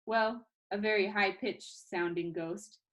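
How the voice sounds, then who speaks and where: A woman reading a storybook aloud as a teacher, with expressive narration and an informal manner. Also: medium pitch, takes it slowly. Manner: informal, chatty asides.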